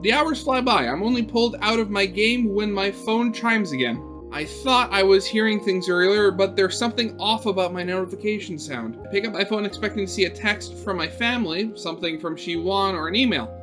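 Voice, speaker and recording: A man's voice: sits high, fairly quick, few pauses, music in the background. A man narrating a visual novel aloud as a gaming host, switching between storybook-like reading and offhand, sarcastic commentary.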